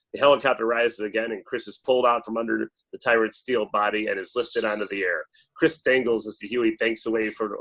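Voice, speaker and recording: A man's voice: on the low side, fairly bright tone, low-quality recording. A man reading stage directions as dramatic scripted narration at a table read.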